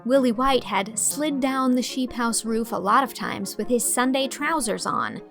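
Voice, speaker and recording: An actress playing an amused, articulate young schoolteacher narrating a letter to a friend in an audio drama. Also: female voice, fairly high pitch, a little slow, vocal fry, a bit bright, faint music behind. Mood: fond, amused.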